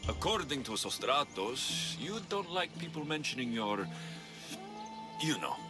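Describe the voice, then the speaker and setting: A man's voice: mid-range voice, sing-song, a little slow. An actor playing a blunt, wry male mercenary warrior in a video game, direct and a little impatient. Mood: teasing, confident. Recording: music behind the voice.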